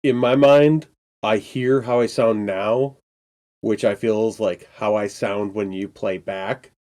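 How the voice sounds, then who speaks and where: A man's voice: lowish voice, fairly even intonation, takes it slowly, darkish tone. A man co-hosting a podcast while congested from a cold, rambling with hesitant, chuckling asides. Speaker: podcast co-host. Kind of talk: casual chat.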